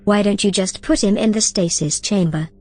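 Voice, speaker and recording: Female AI role: calm, precise, reassuring, mid pitch. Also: normal speed. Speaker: AI companion character. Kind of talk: audio drama acting.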